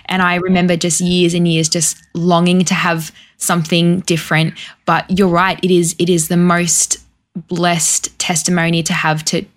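A woman hosting an interview, with a slightly low voice. She asks steady questions and encourages her guest in a friendly way. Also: moderate pace. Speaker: guest host.

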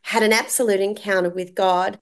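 A woman's voice: medium pitch, fairly even intonation, a little fast, clear, resonant tone. Earnest Australian woman telling her story. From Melbourne, Australia. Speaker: guest. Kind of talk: interview, personal story.

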